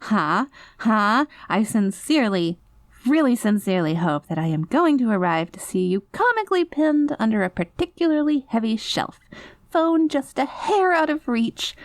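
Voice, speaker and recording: A woman's voice: fairly high pitch, big pitch swings, normal speed, no echo. A woman acting an obsessive yandere girlfriend in a scripted audio roleplay, speaking with over-polite, saccharine menace and barely contained panic. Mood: sweetly menacing. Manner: saccharine pet names, pointed over-polite phrasing, veiled threats.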